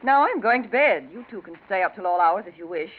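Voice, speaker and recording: A woman acting a brisk, supportive sister-in-law, decisive and genteel, in 1940s radio style. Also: medium pitch, lively intonation, close to an everyday voice. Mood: brisk, kindly. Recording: noisy, muffled 1940s radio recording.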